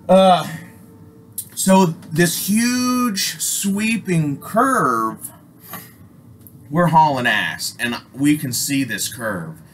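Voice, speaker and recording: A man from Alaska with an American accent telling a personal story casually, in an animated, rambling, slangy way full of swearing. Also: fairly high pitch, very slow. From Alaska, United States. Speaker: storyteller, host. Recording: noisy amateur recording with ambient sound.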